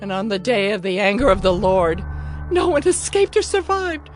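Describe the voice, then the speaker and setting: A woman's voice: medium pitch, very animated, normal speed, faint music behind, close to an everyday voice. A woman performing a dramatized scripture lament, anguished and pleading. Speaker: narrator of a lament.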